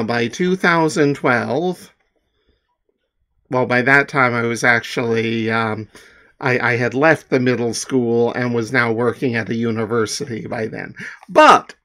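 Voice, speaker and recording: Slow, halting man chatting casually. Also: mid-range voice, a bit bright, smooth. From Newfoundland, Canada. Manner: halting, hesitant, self-deprecating. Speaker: comic artist and author. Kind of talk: casual rambling talk to viewers.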